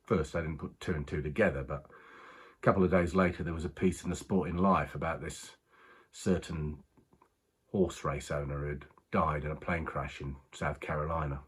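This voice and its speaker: An actor playing a rueful working-class racing man, husky, confiding a strange story in a halting, colloquial monologue. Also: very deep voice, steady tone, full character voice. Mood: sombre, hesitant. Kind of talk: dramatic monologue, conversational storytelling.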